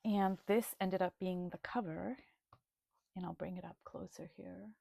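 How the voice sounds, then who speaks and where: A woman presenting her art journal in a video, speaking in a slow, thoughtful, gently earnest way. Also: lowish voice, steady tone, gravelly, dry recording, studio quality. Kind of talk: reflective video flip-through.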